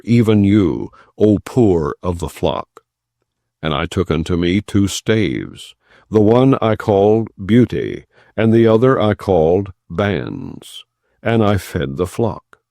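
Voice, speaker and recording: Low voiced guy reading bible audiobook, solemn, slow, voice rises and falls a lot. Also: a little mellow, dry recording, clean studio sound. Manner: solemn, measured delivery in short deliberate phrases. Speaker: audiobook narrator of scripture. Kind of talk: scripted narration, non-dramatized scripture reading.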